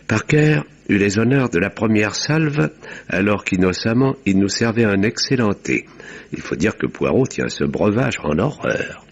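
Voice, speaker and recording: An actor playing a composed, wry village doctor who narrates a 1950s-style French radio mystery in measured, literary, husky tones. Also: male voice, sits low, exaggerated voice. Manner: measured, dryly ironic. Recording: dull vintage radio sound.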